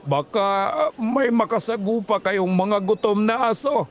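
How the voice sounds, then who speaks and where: A creepy old village elder in a horror audio drama, drawing every word out slowly in a raised, thin voice, sweet on top and menacing underneath. he sounds like he knows something you don't. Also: sits high, a faint crowd, slight background noise. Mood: ominous. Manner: slow, ominous, sweetly threatening.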